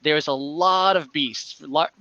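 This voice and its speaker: A man's voice: on the high side, slow, sharp tone, lo-fi sound. A man running a tabletop game as game master, explaining the world in a conversational, improvised narration over an online call.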